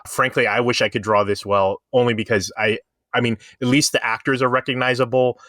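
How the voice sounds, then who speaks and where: Man, bright forceful voice, dry close sound, podcast co-host, casual, opinionated. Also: medium pitch, steady tone, brisk, no room sound.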